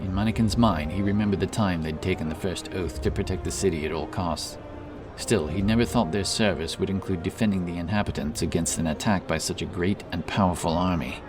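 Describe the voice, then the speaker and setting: A man narrating a scripted fantasy story in a dramatic, deliberate manner. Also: deep voice. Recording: distant voice under loud background music.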